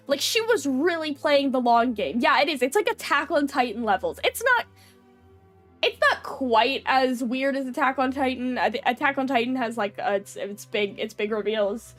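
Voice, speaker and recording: Young woman, high-pitched, nasal, stuffy nose, chatty and giggly, streamer talk, faint music behind. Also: lively intonation, moderate pace, fairly bright tone. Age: young adult. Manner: chatty, lots of fillers and giggles. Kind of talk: casual chat with viewers.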